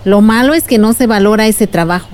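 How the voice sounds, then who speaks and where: A woman's voice: mid-range voice, a little fast, a little mellow, smooth, lightly acted. A woman playing a patient, wise village aunt who explains things in a radio drama. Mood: patient, earnest.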